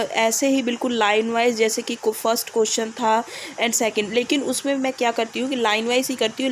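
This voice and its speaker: Young woman, hoarse from a fever, slightly high pitch, hesitant casual vlogger giving exam tips. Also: slight background noise. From India. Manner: hesitant, with many "uh" pauses. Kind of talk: casual exam-advice vlog.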